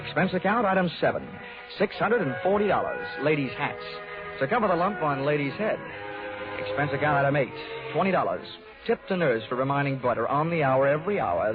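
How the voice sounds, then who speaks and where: An actor playing a wisecracking, tough American insurance investigator in a 1940s radio detective drama, speaking brisk and wry. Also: male voice, a little high. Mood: dry reporting. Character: wisecracking hard-boiled insurance investigator man. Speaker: lead actor and narrator. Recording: tinny 1940s radio recording, music.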